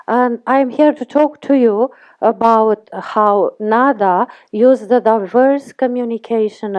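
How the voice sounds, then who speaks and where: A woman's voice: medium pitch, lo-fi sound. A woman, a theater scholar presenting a paper in a careful, formal way with frequent hesitations. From Romania. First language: Romanian. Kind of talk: conference talk.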